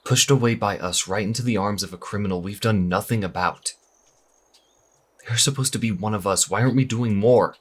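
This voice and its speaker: Troubled young priest, audio drama. Very low, rough-edged voice; earnest, hesitant, questioning his faith. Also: a little fast. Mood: distressed, earnest.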